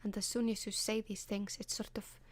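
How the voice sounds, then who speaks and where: An Icelandic-accented woman whispers advice close and hushed, in the manner of an ASMR video. Her tone stays level with little rise or fall, and she hesitates often with soft ums. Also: medium pitch, little pitch movement, fairly quick, no room sound. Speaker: ASMR advice column host.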